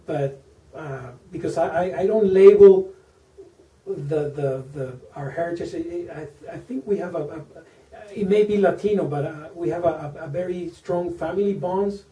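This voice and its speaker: A man in his forties from Venezuela with a Venezuelan Spanish accent, a native Spanish speaker, answering interview questions slowly, with many fillers and repeated words. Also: on the high side, dark tone. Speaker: interview guest, engineer. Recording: echoey room, mic at a distance.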